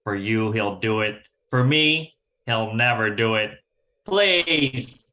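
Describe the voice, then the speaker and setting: A man's voice: fairly low pitch, animated, slow pace, sharp tone, full character voice. A man playing a scheming young gambler in a 1940s radio crime drama, slangy and wisecracking. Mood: pleading, cocky. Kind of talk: 1940s radio detective acting.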